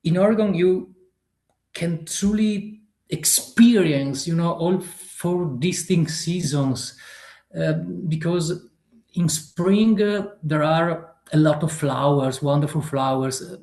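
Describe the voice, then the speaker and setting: A man in his fifties with an Italian accent, an author answering interview questions haltingly and searching for words in a non-native language. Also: fairly high pitch, a little mellow, echoey room. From Italy. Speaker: author guest.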